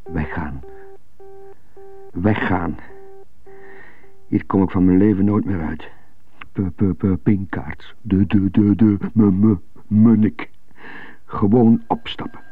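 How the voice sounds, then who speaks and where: A muffled, hissy radio drama recording of a troubled man with a stutter. His voice is low and gravelly, and he broods haltingly, as if coaching himself through every hard consonant. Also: steady tone, no room sound, heavily acted. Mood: brooding. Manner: halting, brooding inner monologue.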